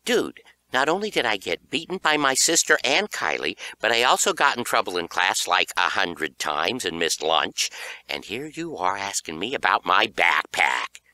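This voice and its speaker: A man's voice: fairly low pitch, big pitch swings, moderate pace, bright, forceful tone, no room sound, heavily acted. A man voicing a smart-mouthed, whiny young schoolboy in a children's audiobook. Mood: exasperated. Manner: cheeky, whiny, defensive.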